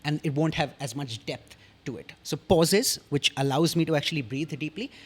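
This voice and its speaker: A young man with an Indian English accent, a podcast guest explaining communication in an articulate, measured way, pausing often between sentences. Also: on the high side, slightly rough. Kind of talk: casual explanatory interview talk.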